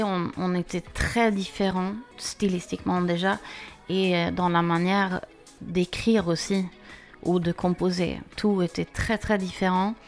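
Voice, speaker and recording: A woman from Sweden, a guest singer answering radio interview questions in a hesitant, halting way. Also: fairly low pitch. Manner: hesitant, halting, soft-spoken.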